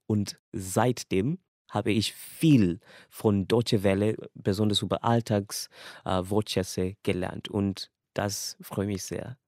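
A man's voice: on the low side, a little mellow, no echo, clean studio sound. A young man from Kenya, a language student whose first languages are English and Swahili, giving a casual, earnest personal account and laughing lightly between thoughts.

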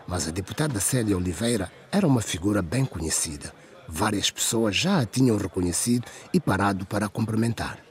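A man narrating a scripted radio drama in a storytelling style. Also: fairly low pitch.

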